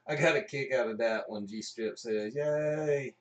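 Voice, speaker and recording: A man's voice: lowish voice, moderate pace, muffled recording. A man hosting a livestream in an informal, jokey, chatty way. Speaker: livestream host. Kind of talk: casual chat. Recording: echoey room, distant mic.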